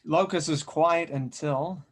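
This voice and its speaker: A man with a fairly high-pitched voice hosts a script table read. He introduces things and narrates scene directions as the writer and reader. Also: slow.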